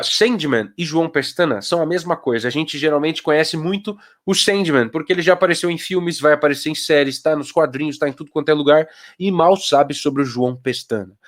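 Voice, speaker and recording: A man's voice: on the high side, fairly bright tone. A man from São Paulo with a Paulista accent, talking casually on a live video call as a children's author, full of rhetorical questions. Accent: Paulista Brazilian Portuguese. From São Paulo, Brazil. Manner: conversational, asks rhetorical questions, briefly voices old folks.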